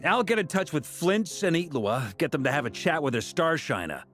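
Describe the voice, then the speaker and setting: Animated, confident knightly commander. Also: male voice, a little high, normal speed, soft background music. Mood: confident, upbeat. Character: confident, genial young male knightly commander. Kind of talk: video game voice acting.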